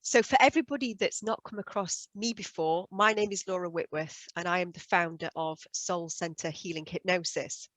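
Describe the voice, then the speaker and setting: A woman's voice: lowish voice, steady tone, normal speed, few pauses, fairly bright tone, no echo, poor audio. A woman hosting a video call interview, asking questions with enthusiasm and effusive praise. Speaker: host, hypnosis trainer.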